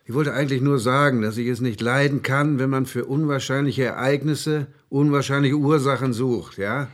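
An actor in a radio drama playing a rattled, sceptical rationalist, weary and blunt in a naturalistic way. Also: male voice, mid-range voice, normal speed, natural voice. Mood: placating, firm.

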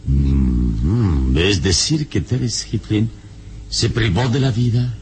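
An actor playing a calm, solemn adventurer hero, questioning in a measured, dramatic old radio serial style. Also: male voice, fairly low pitch. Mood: probing. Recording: noisy old radio serial recording, hum.

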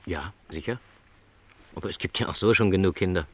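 Low-voiced, modest middle-aged travelling salesman. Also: brisk, a bit bright, husky, full character voice. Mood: gently reflective. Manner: modest, reflective, polite. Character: lonely, contented, no longer young travelling salesman. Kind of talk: radio play dialogue.

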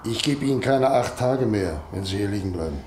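A practical doctor played by a male radio actor pleads in a low voice, urgent and exasperated. He presses his point insistently. The old radio drama recording is clearly noisy. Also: expressive, a little fast, a little mellow, lightly acted. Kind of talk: German radio play acting.

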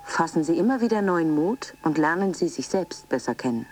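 Low-voiced motivational coach. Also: female voice, animated, darkish tone. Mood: soothing, instructive. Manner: measured, instructional. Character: soothing female motivational fitness coach. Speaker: actress in a radio drama. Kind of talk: scripted guided relaxation and coaching slogans.

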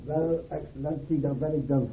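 An actor playing a dignified, grumbling imperial chancellor in an old-fashioned radio drama, with a gravelly, raspy voice. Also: male voice, a little high, steady tone, normal speed. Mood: relieved, grumbling. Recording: muffled, phone-like old radio recording.

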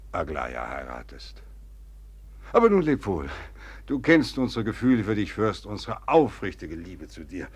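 An actor playing a fatherly older general in a 1950s radio play, talking in a confiding, rambling way. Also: lowish voice. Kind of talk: 1950s radio drama acting.

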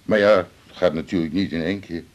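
An actor playing a matter-of-fact male hospital colleague in a radio drama, brisk and factual. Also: low voice, fairly even intonation, muffled recording, natural voice.

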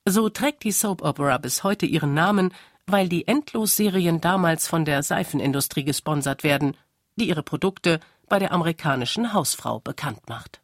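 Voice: medium pitch, expressive, normal speed, dry recording, studio quality. A narrator reading a scripted radio documentary.

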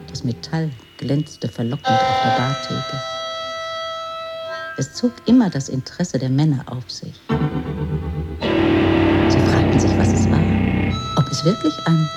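A woman's voice: extremely low pitch, sing-song, unhurried, pauses often, exaggerated voice. A woman narrating a literary radio drama as a grave storyteller. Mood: grave, brooding. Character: grave female storyteller of a troubled girl's life. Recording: music under the voice.

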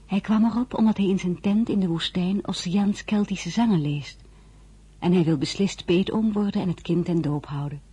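An actress in a radio drama playing a tender, frank young wife and new mother, with a soft, emotional delivery. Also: fairly low pitch, moderate pace, husky. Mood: calm, gentle.

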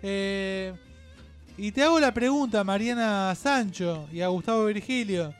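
An Argentine man co-hosting a radio show in rambling, opinionated chat, halting, digressing and repeating himself. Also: high voice, smooth, soft background music.

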